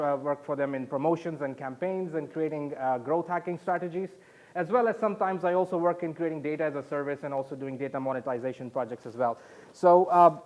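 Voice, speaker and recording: A man with a fairly high voice speaks as a conference presenter from Saudi Arabia. He explains things haltingly, often stopping to restart, and poses questions to his listeners.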